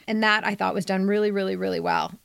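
A woman's voice: medium pitch, steady tone, quick pace, creaky, fairly bright tone, clean tone, dry recording. Blunt woman co-host, dry close sound. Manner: blunt, emphatic. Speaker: podcast co-host and editor. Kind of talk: candid conversational story critique. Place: recording studio.

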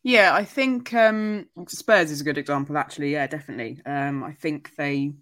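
A woman's voice: sits low, moderate pace, fairly bright tone. A woman talking as a guest on a football podcast, hedging her views with many restarts and self-corrections. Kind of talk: casual football podcast chat.

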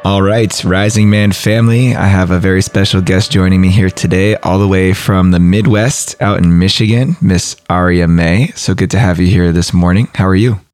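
Hoarse deep-voiced man hosting a podcast. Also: steady tone, normal speed, hardly any pauses, darkish tone. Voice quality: raspy. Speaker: podcast host. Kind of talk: podcast interview.

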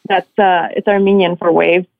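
A woman in her late twenties from Syria, speaking softly and hesitantly as a guest, recorded over a phone line. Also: on the low side, level tone, normal speed, gravelly, no room sound. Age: late 20s. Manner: soft-spoken, hesitant, many ums. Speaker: guest caller. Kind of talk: casual interview chat.

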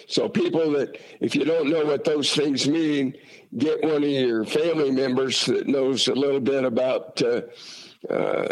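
A man's voice: mid-range voice, rough recording. A man from Utah talking casually about family history, folksy and rambling with many fillers and restarts. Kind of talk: casual conversation.

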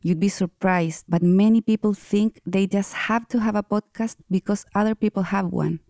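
A woman from Chile gives direct, steady advice in a mellow, dark voice. She is recorded close and dry, with no room sound. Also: medium pitch, normal speed, gravelly, no echo. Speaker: podcast editor and host. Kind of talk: advice, read from a script.

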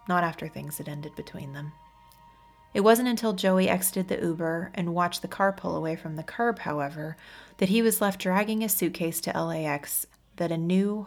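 A woman's voice: lowish voice, vocal fry. A woman reading a scripted story as a narrator. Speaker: podcast co-host reading an audiobook chapter.

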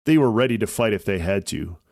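Low-pitched man with a creaky voice. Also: animated, brisk, darkish tone, dry recording, studio quality. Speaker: host and narrator of a children's story podcast. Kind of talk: scripted storytelling narration.

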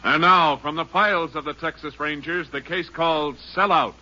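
A man's voice: on the high side, normal speed, lo-fi sound. A male announcer giving a formal 1950s radio drama opening. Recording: old radio broadcast over loud music.

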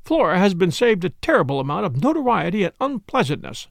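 Man playing a plaintive older society mother, high swooping. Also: big pitch swings, brisk, vocal fry, no room sound, studio quality, full character voice. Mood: pious fretting. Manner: plaintive, rambling, fussy. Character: fretful older society mother, plaintive, self-pitying, obstinate.

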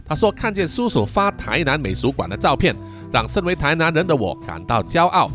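A man's voice: on the high side, sing-song, brisk, fairly bright tone, soft background music. A man, middle-aged, hosting a podcast in a casual, chatty style, reading out and answering listener comments with frequent sentence-final particles. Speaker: podcast host.

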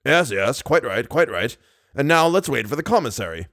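A man's voice: mid-range voice, sing-song, normal speed, fairly bright tone, dry recording, studio quality. A man voicing an impatient, obstinate male acting manager.

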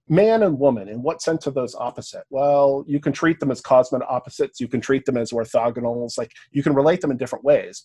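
A man's voice: mid-range voice, a little mellow, husky. A man with a Canadian accent, speaking as a podcast guest in a casual, lecture-like discussion, thinking aloud, often checking in with "right?" and now and then swearing.

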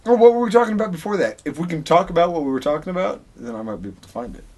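A man's voice: a little high, animated, racing, darkish tone. A man co-hosting a podcast in casual, digressive chat, swearing offhandedly as he rambles. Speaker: podcast co-host.

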